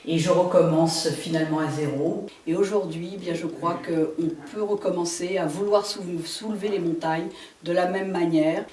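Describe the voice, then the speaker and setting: A woman's voice: on the low side, steady tone, normal speed, darkish tone, a little hiss or hum. A woman in her fifties, a business owner, speaking in a composed, determined way. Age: 50s. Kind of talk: personal testimony. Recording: echoey distant mic.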